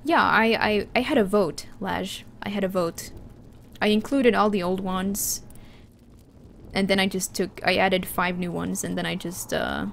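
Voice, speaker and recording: A woman's voice: mid-range voice, steady tone, measured pace, creaky, a little hiss or hum. A woman from Finland, a native Finland Swedish speaker, chatting casually on a livestream and answering her chat, with frequent restarts. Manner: chatty, restarts often, reads chat aloud. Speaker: streamer.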